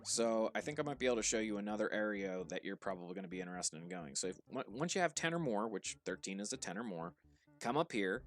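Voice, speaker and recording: A man with a New Jersey and Pittsburgh accent, casually coaching viewers through a game on a livestream, relaxed and instructive. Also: on the low side, quick pace, faint music behind. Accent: New Jersey and Pittsburgh blend. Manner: relaxed, instructive, with frequent restarts and fillers. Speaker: streamer guiding viewers. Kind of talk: casual live game commentary.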